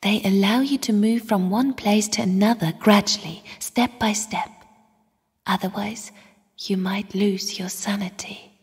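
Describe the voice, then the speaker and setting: An actress playing a mysterious, sorrowful young spirit in a video game, speaking in a hushed, solemn, airy whisper. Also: female voice, medium pitch, slightly rough. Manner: slow, hushed, solemn delivery. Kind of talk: video game character voice acting. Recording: long cavernous reverb.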